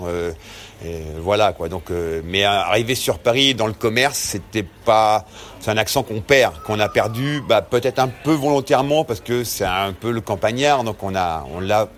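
Man from Normandy, casual halting testimony, lowish voice, faint ambience. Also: fairly bright tone, slight background noise. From Perche, Normandy. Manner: hesitant, many restarts and fillers. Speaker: cheesemonger interviewee.

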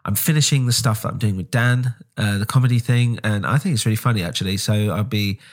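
A man's voice: fairly low pitch, quick pace. A man hosting his own podcast, talking casually with many ums and restarts, nasal from a cold. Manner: rambling, frequent ums and restarts. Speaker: podcast host. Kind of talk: casual solo update.

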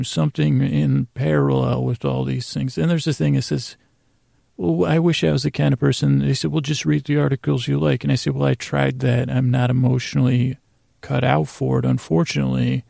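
Very creaky, rambling male podcast host. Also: mid-range voice, mellow, no echo, studio quality. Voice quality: gravelly, creaky. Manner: halting, meandering, full of stammered false starts and trailing tangents. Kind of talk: rambling bedtime monologue.

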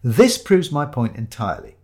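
A man's voice: mid-range voice, huge pitch swings, normal speed, a little mellow. A man voicing an exasperated, cynical companion who scolds his detective friend in a comic story. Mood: insistent.

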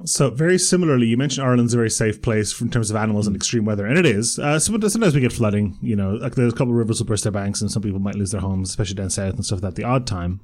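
A man's voice: on the low side, very fast, few pauses, darkish tone. A young man from Croatia co-hosting a casual podcast, rambling with lots of restarts and easy laughter. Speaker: podcast co-host. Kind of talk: casual chat.

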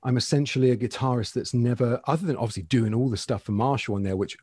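A man in his forties, a guitarist being interviewed, talking in a relaxed, candid and rambling way. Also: medium pitch, fast talker, breathy edge, no echo, studio quality. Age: 40s. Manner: relaxed, candid, loose and rambling with frequent "you know what I mean". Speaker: guest guitarist. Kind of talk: casual interview chat.

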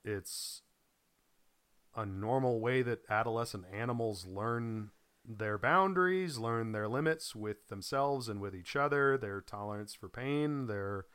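A man's voice: medium pitch, a little slow, fairly bright tone, smooth, no room sound. A man from Boise chatting casually as a podcast co-host, rambling with many ums and restarts, still recovering from a lost voice and coughing. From Boise, Idaho. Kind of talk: casual chat.

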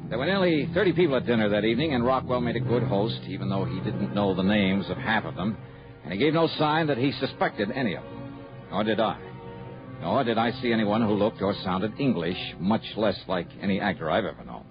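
A male actor's hard-to-impress private investigator from a 1960s radio drama. His voice sits a little low and moves around with animation, dry and guarded in manner. The old recording is muffled, with music around it. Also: expressive, brisk, natural voice. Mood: dry narration. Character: wry, sceptical male private investigator narrating his case. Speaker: lead actor and narrator.

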